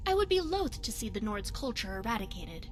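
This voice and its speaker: A woman playing a poised, secretive spy devoted to her goddess in a video game, speaking in formal, measured phrases. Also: on the high side, sing-song, soft background music. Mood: confiding, earnest. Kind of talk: scripted video game character dialogue.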